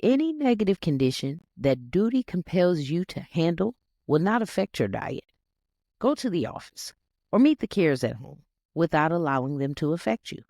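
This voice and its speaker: A narrator reading a self-help text aloud in an instructive, scripted audiobook style, with deliberate emphasis on key words. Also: medium pitch, very animated, darkish tone, no room sound, clean studio sound. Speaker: reader, narrator.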